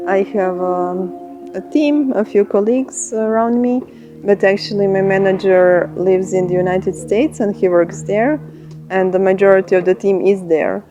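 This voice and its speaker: A woman in her thirties with a Croatian accent, a software engineer speaking in a documentary interview, thoughtful with pauses and restarts. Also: mid-range voice, fairly even intonation, highs cut off. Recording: music bed under the voice.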